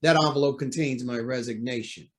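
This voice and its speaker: A man's voice: mid-range voice, brisk, natural voice. A man playing a proud, indignant judge under pressure in an old-time radio drama, speaking formally and emphatically. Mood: indignant, agitated.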